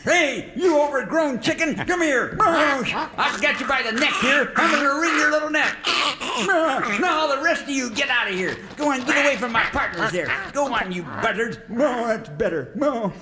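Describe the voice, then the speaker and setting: A man's voice: extremely high pitch, expressive, normal speed, heavy background noise. A man playing a grizzled, cantankerous old cowboy sidekick in a folksy, blustering western radio parody. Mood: indignant. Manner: folksy, blustering rustic talk.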